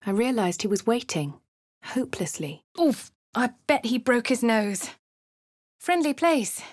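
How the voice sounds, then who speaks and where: A woman voicing a refined, posh young adventurer with a British accent, speaking crisp, clipped game lines and narration. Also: lowish voice, darkish tone, no echo, studio quality. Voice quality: crisp, well-spoken. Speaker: player character.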